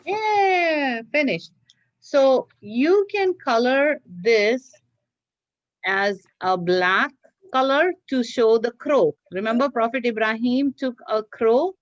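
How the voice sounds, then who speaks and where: Slow-paced woman teaching a children's craft class. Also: on the high side, no echo, muffled recording. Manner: patient, repetitive, checks with listeners. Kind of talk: step-by-step craft instruction for children.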